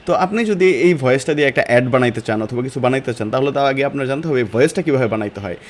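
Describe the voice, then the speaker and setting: A man's voice: mid-range voice, lively intonation, very fast. Bangladeshi man giving an informal tutorial. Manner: informal colloquial speech. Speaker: online course instructor. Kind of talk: step-by-step software tutorial.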